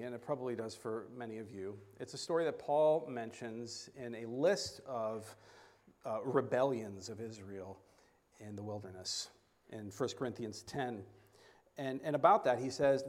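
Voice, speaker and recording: A man preaching a sermon as a guest speaker, halting and often repeating words, with self-deprecating asides. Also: medium pitch.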